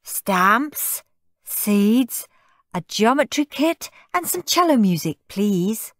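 Prim, perfect little storybook boy, voiced by a woman: high, animated, very polite. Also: slow pace, no echo, clean studio sound. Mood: polite, pleased. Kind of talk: children's storybook character voice.

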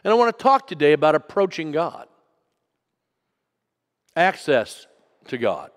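A man preaching a sermon as pastor, telling a personal story and moving from loud to hushed. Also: a little high, sing-song, measured pace, big-room sound. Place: church sanctuary.

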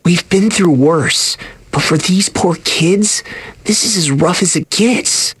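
A woman playing a calm, world-weary adventurer in a game, thoughtful and subdued. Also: lowish voice, husky, dry recording, heavily acted. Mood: somber. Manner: measured, subdued.

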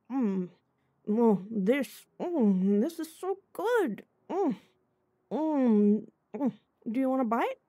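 A woman voicing a sweet, bubbly young rental girlfriend in an intimate roleplay audio, giggly, chatty and gently reassuring. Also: fairly high pitch, no echo, clean studio sound, exaggerated voice. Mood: delighted, teasing. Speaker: voice actor.

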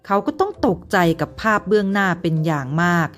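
A woman, a mother and storyteller, narrating a bedtime story for children in a gentle manner. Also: mid-range voice, huge pitch swings, a little fast, soft background music. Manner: gentle, sing-song with children.

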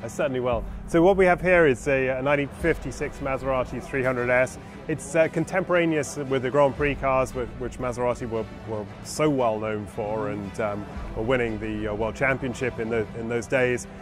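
A man's voice: medium pitch, fairly even intonation, normal speed, few pauses. A man, an auction car specialist, giving discursive explanations in an interview. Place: large tent with cars on display. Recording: music clearly behind the voice.

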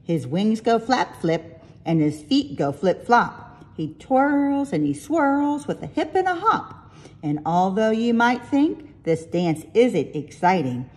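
Lady reading rhyming kids book out loud, soft mellow tone, slow and expressive. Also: fairly low pitch. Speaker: picture-book reader. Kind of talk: storybook read-aloud in rhyming verse.

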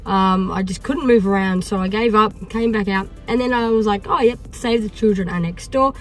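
An Australian woman in her thirties with a croaky voice, chatting casually to camera from a parked car on a phone held at arm's length. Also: medium pitch, normal speed, soft background music. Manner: chatty, upbeat, many fillers. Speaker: vlogger. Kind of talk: casual vlog talk to camera.

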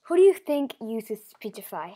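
A woman's voice: fairly high pitch, very animated, normal speed, mellow. A woman interviewer asking short, plain questions on a video call.

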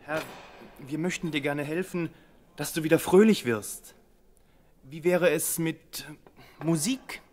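An actor playing a worried, dutiful royal servant in a radio play, deferential and fretful. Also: male voice, on the high side, fairly even intonation, slow pace, long pauses, darkish tone, long reverb tail, slight background noise.

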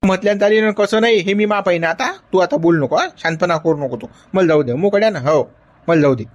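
A man's voice: sits high, fast talker, lo-fi sound, natural voice. An actor playing a stubborn, lovesick old grandfather who whines and insists in a Varhadi Marathi accent. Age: older. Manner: whiny, insistent pleading. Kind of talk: comedy sketch acting.

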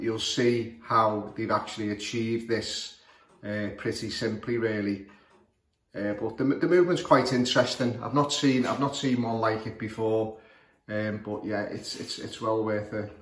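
A man with a Liverpool accent, a clock repairer, talking casually to camera about a clock, hesitant with many fillers and restarts. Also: mid-range voice. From Liverpool, England. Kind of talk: casual show-and-tell commentary. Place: room full of clocks, indoors. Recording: echoey room with faint noise.

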